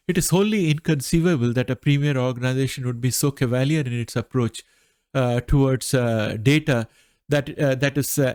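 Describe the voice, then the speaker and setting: A middle-aged man talks through market commentary in a nasal voice, as if still getting over an allergy. He speaks at a middle pitch and works through his points in a measured way, often pausing on "uh". The recording is dry and close, with little room sound. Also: a little mellow, dry recording. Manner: measured, frequent "uh" pauses. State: recovering from allergy. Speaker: finance channel host.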